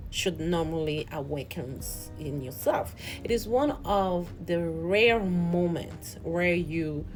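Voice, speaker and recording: Female host, lower register, earnest reflective motivational talk over soft background music. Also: poor audio. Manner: earnest, reflective, repeats and restarts words.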